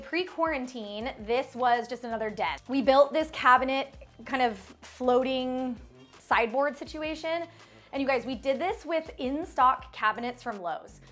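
A woman's voice: fairly high pitch, fairly bright tone. A woman in her thirties, an interior designer, chatting upbeat and casual as she walks through her house, laughing often. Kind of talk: casual home tour chat. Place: bright home interior rooms.

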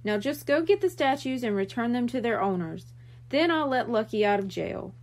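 A calm small-town police officer from a storybook, read by a woman, speaking with measured authority. The voice sits high and keeps a varied, lively intonation.

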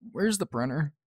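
A woman playing an exasperated, scheming, foul-mouthed teenage boy in an audio drama, animated and sarcastic. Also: low-pitched, heavy background noise, full character voice.

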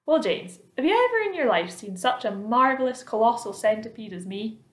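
Storybook centipede played by a woman reader: male, boastful pest, loud-mouthed, bossy, exclamatory; echoey room. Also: a little high, big pitch swings, brisk. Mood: boastful, cheeky. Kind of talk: children's storybook read aloud. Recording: roomy, echoing indoor sound.

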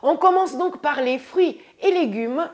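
A woman in her thirties with a high voice and a stuffy nose from a cold chats casually about her groceries. She takes her time, is upbeat and keeps addressing her viewers as friends. She was recorded on a lapel mic in a bright room indoors. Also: lively intonation, measured pace, slight background noise. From France. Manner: chatty, says "les amis" often, upbeat. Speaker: vlogger. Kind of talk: casual grocery haul vlog.

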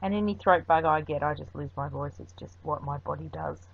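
Woman, lowish voice, rambling craft narration, lots of ums, dull lo-fi sound. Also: brisk, gravelly, breathy edge, no echo. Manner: chatty and rambling, with frequent ums. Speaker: craft video host. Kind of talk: voice-over craft tutorial narration. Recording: dull lo-fi recording.

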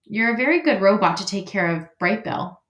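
A woman voicing a quiet, gentle young male beaver. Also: mid-range voice, expressive, fairly quick, lightly acted. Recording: roomy echo.

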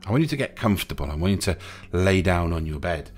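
A man narrating a guided sleep meditation in a soothing, gentle, unhurried way, leaving pauses between short phrases. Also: low-pitched, expressive. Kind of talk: guided sleep meditation, hypnotic relaxation countdown. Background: music.

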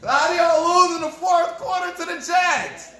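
A man's voice: very high voice, fairly even intonation, moderate pace, bright, forceful tone, roomy sound, soft background music. A young man ranting profanely and with frustration to his viewers in a sports fan vlog, recorded at home in front of a camera. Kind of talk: ranting post-game fan review. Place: room at home, indoors.

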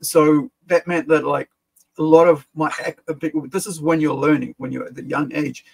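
A middle-aged man from Fiji whose accent sounds American, talking casually and animatedly as a streamer reacting to a clip. His voice is gravelly and husky, and he rambles through restarts as he makes his point from a desk in a small room. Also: fairly high pitch, steady tone, normal speed, low-quality recording. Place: small room with collectible shelves, gaming chair.

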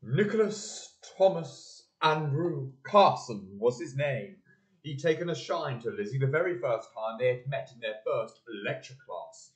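A man's voice: mid-range voice, lively intonation, measured pace, close to an everyday voice. A young man playing a storyteller, giving scripted narration in an amateur audio drama. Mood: measured, wry. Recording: echoey hall.

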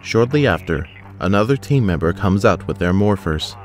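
A man's voice: sits low, animated, normal speed. A man reading scripted narration for an audio drama as its narrator.

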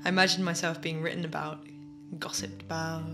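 An actress playing a wistful, reflective daughter in an audio drama monologue, telling her memories haltingly. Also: low voice, level tone, music in the background. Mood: fond, nostalgic. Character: wistful daughter recalling her adored theatre-critic father.

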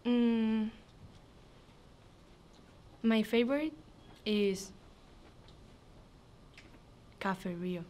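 A woman's voice: on the high side, drawn-out words, dark tone. A teenage girl from Spain, an exchange student, answering carefully in a school TV interview. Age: teens.

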